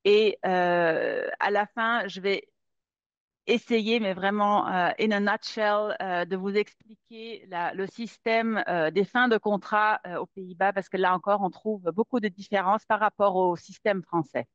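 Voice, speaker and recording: Brief: female lawyer presenting a webinar, halting and filler-heavy, dry muffled laptop-mic sound. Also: mid-range voice, steady tone, no echo. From Netherlands. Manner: many fillers and repeated words. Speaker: employment lawyer giving a webinar. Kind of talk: hesitant spoken presentation. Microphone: webcam or laptop mic on a video call. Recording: dull, dry lo-fi webinar audio.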